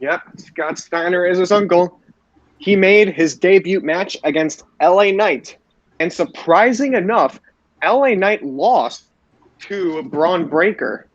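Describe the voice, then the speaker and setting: Higher-voiced man recapping wrestling, dull audio. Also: slow pace, no room sound. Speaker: podcast host. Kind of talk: recapping wrestling shows for co-hosts.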